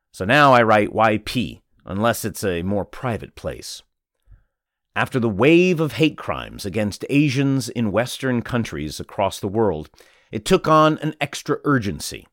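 A man reading a feature article aloud in a measured narration. His pitch moves a lot as he reads. The sound is dry and close, with no room around it. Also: dry recording. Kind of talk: scripted narration.

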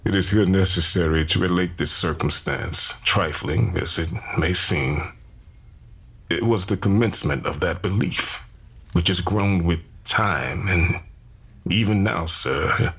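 A man's voice: sits low, fairly bright tone, exaggerated voice. A man playing a solemn enslaved preacher in his thirties, convinced of his divine calling, giving grave, formal testimony in a historical dramatization. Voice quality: gravelly, husky.

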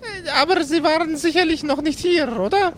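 Man putting on a high-pitched, polite young female receptionist. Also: normal speed, fairly bright tone, slight background noise. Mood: flustered, helpful. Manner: courteous, flustered, hesitant.

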